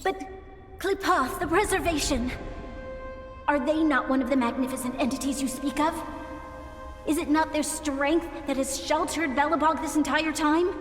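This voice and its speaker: An actress voicing an earnest, noble young daughter in a video game, formal and pleading. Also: female voice, high voice, normal speed, lightly acted. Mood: pleading, challenging. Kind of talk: dramatic video game voice acting. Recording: echoey hall reverb over music.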